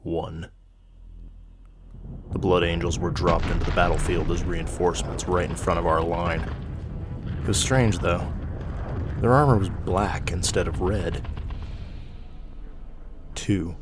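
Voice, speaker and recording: A man's voice: sits low, steady tone, takes it slowly, pauses often, background noise. A man giving a grave, theatrical narration of scripted horror stories.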